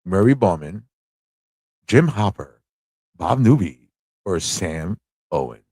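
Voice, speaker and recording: A man's voice: low-pitched, expressive, takes it slowly, a little mellow, husky, no room sound. A man hosting a casual live-stream trivia show, chatty and playful, often thinking aloud to his viewers. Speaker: host of a live pop culture show.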